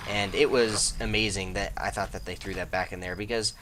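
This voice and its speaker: A man's voice: on the low side, fairly even intonation, a little fast, noisy background. A young man hosting a let's play, chatting casually and rambling with self-deprecating jokes while he plays. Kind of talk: casual gameplay commentary.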